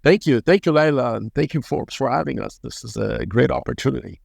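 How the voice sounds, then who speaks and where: A man in his fifties from Lebanon, a guest telling his story in an interview, unhurried and often repeating words as he searches for the next phrase. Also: mid-range voice, gravelly, a little mellow, breathy edge, no room sound, studio quality. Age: 50s. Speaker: guest, hospital chairman.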